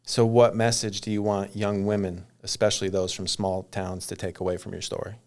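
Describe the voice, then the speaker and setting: A man hosting a podcast, asking questions and chatting in a relaxed way with short agreeing replies. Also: fairly low pitch, fairly quick. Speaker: podcast host. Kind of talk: casual interview chat.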